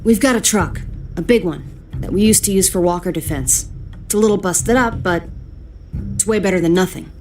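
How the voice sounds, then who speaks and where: A woman's voice: on the low side, brisk, long pauses, darkish tone, soft background music, natural voice. An actress playing a tough, sardonic female survivor in a video game, dry and matter-of-fact.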